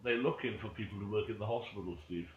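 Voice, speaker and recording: A man's voice: lowish voice, fast talker. A man chatting casually and jokily while playing an online role-play game, swearing freely. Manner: jokey, sweary, repeats catchphrases. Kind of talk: casual gaming chat with banter. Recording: muffled, faintly noisy, echoey room.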